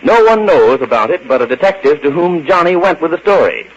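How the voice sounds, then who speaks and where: A man's voice: on the high side, big pitch swings, fairly quick. A man announcing an old-time radio serial in scripted narration. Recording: muffled old radio recording.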